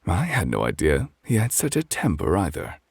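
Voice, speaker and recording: A man voicing a sly, lazy male possum or raccoon from a bedtime story, speaking in a hushed, smug drawl. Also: low voice, wildly swooping pitch, normal speed, gravelly, slightly rough, dry recording, studio quality, exaggerated voice. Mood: sore, rueful.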